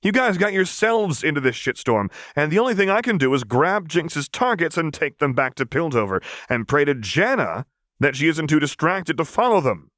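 A brash young woman enforcer from an audiobook tale, played by a man. The voice is lifted well above a man's usual talk and pushed louder. She is crass, sarcastic and slangy, with no patience for niceties. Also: fairly high pitch, very animated, normal speed, no echo, clean studio sound, pitched above the performer's own voice. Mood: exasperated, snapping.

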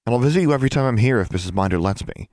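Schoolgirl, played by a man, raised pitch, earnest, impulsive, caring, direct questions, lively audiobook dialogue. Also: racing, no echo, clean studio sound, lightly acted. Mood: friendly. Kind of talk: audiobook character voice.

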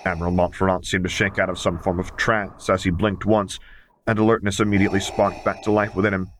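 Very low-voiced man narrating fiction. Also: little pitch movement, fast talker, a little hiss or hum. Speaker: audiobook reader.

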